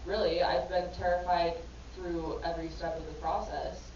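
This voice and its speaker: A woman's voice: lowish voice, little pitch movement, normal speed, darkish tone, slight background noise. A woman panelist telling a personal story in an earnest, reflective way. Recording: echoey hall from far off, dull.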